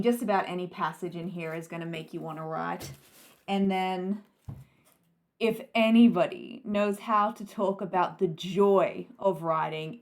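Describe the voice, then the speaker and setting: Encouraging Australian-raised woman giving writing advice. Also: on the low side. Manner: warm encouraging, rhetorical questions. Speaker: writing coach and author. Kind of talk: Q&A advice talk to camera.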